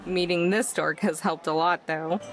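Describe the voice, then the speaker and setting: A woman's voice: fairly low pitch, steady tone, normal speed, over music, natural voice, poor audio. A woman playing a reserved, wry, modest young road-tripper in a visual novel, with a dry delivery. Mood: subdued.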